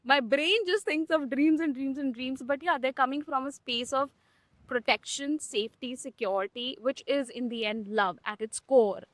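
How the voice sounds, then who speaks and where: A young adult woman hosts a frank podcast chat, her voice set high. She talks emphatically and bluntly, dropping swear words for punch. The sound is dry and close, with almost no room echo. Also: normal speed. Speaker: podcast host. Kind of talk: candid conversational podcast talk.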